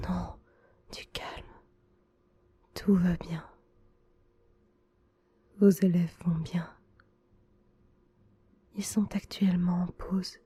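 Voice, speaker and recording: Woman doing a kind male school nurse, asmr roleplay, super breathy whisper, really slow and soothing. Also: medium pitch, no echo. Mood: gently reassuring. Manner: gentle, reassuring, slow and hushed. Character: gentle, attentive male school nurse soothing an injured teacher.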